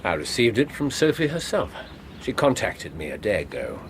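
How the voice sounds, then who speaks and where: An actor playing an urbane, shrewd English detective in a radio drama, wry and playfully evasive. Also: male voice, fairly low pitch, huge pitch swings, normal speed, a little hiss or hum. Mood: wry, coy. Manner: urbane, wry, feigning innocence.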